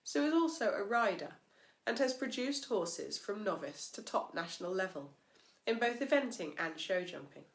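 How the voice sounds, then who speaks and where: A woman reads scripted narration in a measured, formal manner. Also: medium pitch, animated. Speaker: host and narrator, physiotherapist. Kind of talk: scripted narration, read aloud. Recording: echoey, roomy sound.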